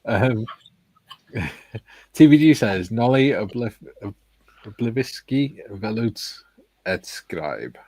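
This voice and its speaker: Male stream host, low dry voice, jokey chuckling delivery reading chat aloud. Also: sing-song, takes it slowly, long pauses, a little mellow, no echo, poor audio.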